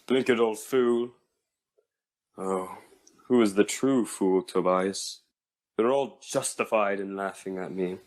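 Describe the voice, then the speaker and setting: Man acting as low-voiced, proud Victorian inventor. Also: slow, long pauses. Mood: bitter, dejected. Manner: formal, theatrical, self-pitying. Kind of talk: comic dub voice acting.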